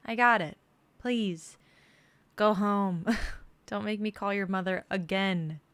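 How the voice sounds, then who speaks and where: A woman's voice: medium pitch, takes it slowly, a bit bright, clear, resonant tone, no echo. A young woman playing a protective, worried store worker in a dramatic script reading. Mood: firm, caring. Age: young adult.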